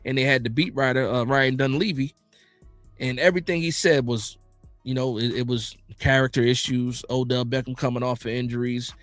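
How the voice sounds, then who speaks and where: An American man hosting a casual football livestream, reading out viewer comments and reacting in slangy, animated, informal talk. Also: medium pitch, moderate pace, dry recording, soft background music. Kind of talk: casual fan chat about football.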